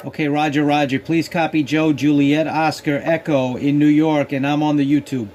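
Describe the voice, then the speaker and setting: A man with a slightly high voice talks as a radio hobbyist and host. He moves between casual explanation and clipped phonetic exchanges, with very loud noise around him. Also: little pitch movement, normal speed, highs cut off. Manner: spells call signs in phonetic alphabet. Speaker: amateur radio operator and video host. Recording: noisy ham radio static.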